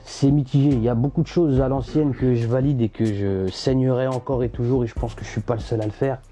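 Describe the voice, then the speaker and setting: Young man, rapper guest, mellow dark voice, relaxed and hesitant, faint music behind. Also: mid-range voice, quick pace. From France. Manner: relaxed, hesitant with many fillers. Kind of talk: casual interview chat.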